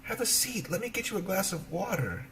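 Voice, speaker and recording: A man's voice: high-pitched, big pitch swings, brisk, vocal fry, a bit bright, slight background noise, pitched above the performer's own voice, heavily acted. A man voicing a kind, cheerful young princess in a storybook, polite and welcoming. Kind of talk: storybook character voice.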